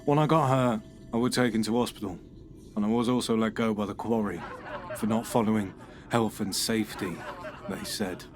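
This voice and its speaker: An actor playing a troubled man, giving a halting, brooding confession under questioning. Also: on the low side, fairly even intonation, faint music behind, natural voice. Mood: uneasy. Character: troubled middle-aged man, paranoid and bitter. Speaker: suspect being questioned.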